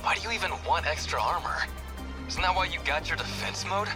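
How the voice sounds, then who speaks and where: Man as young delinquent pilot for a game dub: brash, combative, slangy, slightly high register, music behind. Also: fairly quick, natural voice. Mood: scornful. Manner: brash, coarse slangy talk. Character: hot-headed young male delinquent brawler turned mech pilot. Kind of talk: English game dub voice acting.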